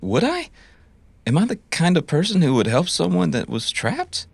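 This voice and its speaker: A woman playing a dazed, gentle, suggestible captive in a cyberpunk audio drama, halting and uncertain. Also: on the low side, huge pitch swings, creaky, slightly rough, no room sound, exaggerated voice.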